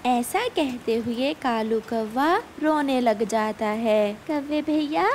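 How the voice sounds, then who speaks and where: Female narrator for a kids' moral tale, measured and slow, light background noise. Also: fairly high pitch, darkish tone. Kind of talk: scripted children's story narration.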